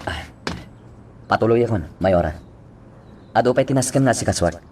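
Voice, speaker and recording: A man's voice: on the low side, animated, normal speed, a little mellow, soft background music, lightly acted. A man acting an official, authoritative police chief in a radio drama. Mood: formal, reporting. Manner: formal, authoritative.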